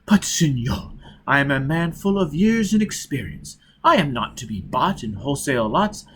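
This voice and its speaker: A man's voice: mid-range voice, moderate pace, natural voice. A man voicing a fat, sly, wheezy peddler, obsequious and haggling as in an audiobook. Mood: calm, wheedling. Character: fat, greasy, sly peddler posing as Italian cook. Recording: steady background noise.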